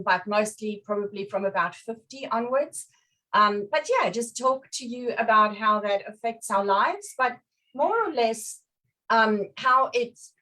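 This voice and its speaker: A woman's voice: mid-range voice, fairly bright tone. A middle-aged woman with a South African accent touched by Australian, giving an informal explanatory talk as a guest. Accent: South African with a slight Australian influence. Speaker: guest speaker. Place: online live video call. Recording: distant mic on online call.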